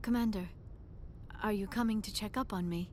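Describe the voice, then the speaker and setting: A woman's voice: medium pitch, a little fast, a little mellow, a little hiss or hum, lightly acted. An actress voicing a young alien woman scientist in a video game, shy and earnest, with formal, precise diction. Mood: grateful. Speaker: archaeologist crew member.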